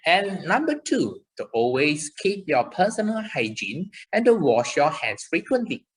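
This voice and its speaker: Woman as a slow, lively, caring child puppet. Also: sits low, rough recording. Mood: earnest, reassuring. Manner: slow, exclamatory, encouraging, simple explanations. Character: chirpy, caring child puppet character for preschoolers. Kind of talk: scripted children's puppet show.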